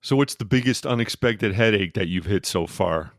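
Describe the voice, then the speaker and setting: A man's voice: on the low side, animated, moderate pace, no room sound, studio quality. A man hosting and producing a podcast, asking questions in an easygoing, joking way. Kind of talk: casual interview.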